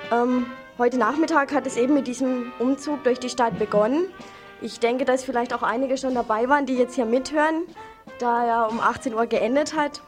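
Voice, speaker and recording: A woman presenting local radio news in an informal style, addressing listeners directly. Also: a little high.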